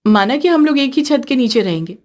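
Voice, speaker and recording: A woman's voice: high-pitched, expressive, fairly quick, darkish tone, voice pushed up. A woman voicing a caring, fretful young village daughter-in-law in a cartoon story, earnest and emphatic. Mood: earnest, pleading.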